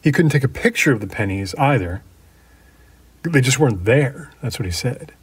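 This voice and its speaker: An actor playing a middle-aged man in an audio drama, thoughtfully recalling an old friend's haunting in a halting, conversational way. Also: mid-range voice, expressive, slightly rough. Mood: hushed, uneasy. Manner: halting, thoughtful storytelling with trailing pauses. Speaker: actor playing a documentary interview subject.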